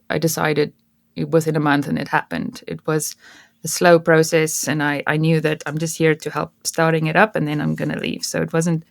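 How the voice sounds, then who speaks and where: Brief: South African woman guest, Afrikaans native, chatty with stumbles, low fry-edged voice. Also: dry recording, studio quality. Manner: chatty, restarts often, laughs easily. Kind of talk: casual interview chat.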